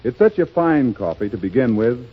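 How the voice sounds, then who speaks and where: A man's voice: mid-range voice, very animated, moderate pace, slightly rough. A man announcing a 1930s radio commercial with a persuasive ad-read delivery. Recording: dull 1930s radio broadcast recording.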